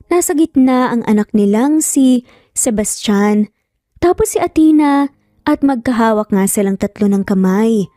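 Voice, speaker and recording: A woman's voice: fairly high pitch, dry recording, clean studio sound. A woman with a Filipino accent reading a scripted, dramatic story as its narrator. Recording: soft music under the voice.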